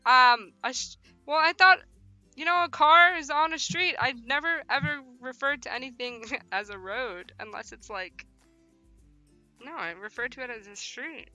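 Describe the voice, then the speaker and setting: A woman hosting a livestream, switching between casual slangy chat and reading game text aloud in an animated way. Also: high-pitched, moderate pace, no room sound, soft background music. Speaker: host of a visual novel livestream.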